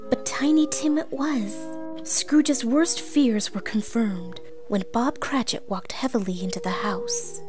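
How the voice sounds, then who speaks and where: A woman playing an excitable storyteller, narrating a storybook read-along with theatrical drama. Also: on the high side, slow pace, music in the background, pitched below the performer's own voice. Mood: hushed, grave. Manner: theatrical, hushed then exclaiming.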